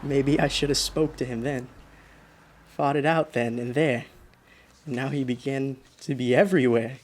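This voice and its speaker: An actor playing a frightened, exhausted driver in a radio drama, halting and muttering to himself. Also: male voice, mid-range voice, fairly even intonation, slight background noise, close to an everyday voice. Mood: weary, uneasy. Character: frightened, exhausted male driver haunted by a phantom. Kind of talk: radio drama monologue and dialogue.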